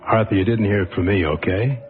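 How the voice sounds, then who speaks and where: An actor playing an uneasy studio friend who breaks bad news to a colleague in an old radio drama. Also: male voice, lowish voice, a little fast, music in the background, lightly acted. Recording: tinny old radio recording with background noise.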